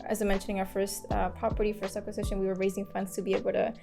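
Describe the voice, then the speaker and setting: A woman from Mexico speaking as a community organizer in an interview, talking haltingly with many fillers and restarts. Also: mid-range voice, monotone, vocal fry, over music. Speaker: community land trust organizer. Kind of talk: casual interview talk.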